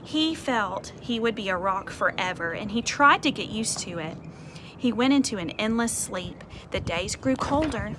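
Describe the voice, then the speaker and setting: A woman reading a picture book aloud to children outdoors, expressive, with conversational explanatory asides. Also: medium pitch, normal speed. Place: outdoors on a porch. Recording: faint outdoor ambient noise.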